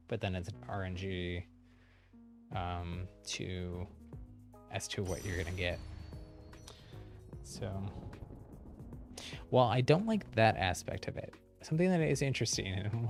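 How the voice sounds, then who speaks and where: A man talking casually as he livestreams a game, thinking aloud in a halting, informal way. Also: on the low side, a little mellow. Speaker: livestreamer, channel host. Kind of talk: casual game stream commentary and chat.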